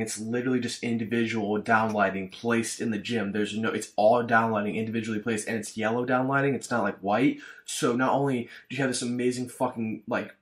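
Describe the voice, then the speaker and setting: Fast-talking young man, rambling earnestly. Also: mid-range voice. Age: young adult. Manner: rambling, filler-heavy, earnest. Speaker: fitness creator and guest. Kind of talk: casual vlog talk to camera. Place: hotel room.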